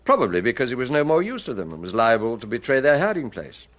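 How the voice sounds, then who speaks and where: A British actor playing a shrewd, commanding chief inspector with dry sardonic manner in a radio drama. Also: male voice, mid-range voice, very animated, quick pace, fairly bright tone. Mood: dry, grim.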